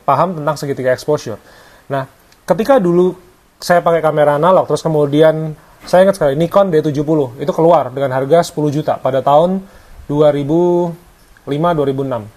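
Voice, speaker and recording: A man's voice: fairly high pitch, darkish tone. A man, talking casually to camera as a photographer vlogger, with frequent fillers and English words mixed in. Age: 30s. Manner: conversational, hesitant with fillers, mixes in English words. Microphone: clip-on lavalier.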